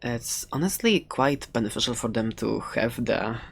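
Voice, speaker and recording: A woman's voice: very deep voice, expressive, normal speed, gravelly, a little hiss or hum, full character voice. A woman playing a playful, friendly dragon egg seller in an improvised ASMR roleplay, rambling hesitantly with little giggles. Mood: gentle, helpful.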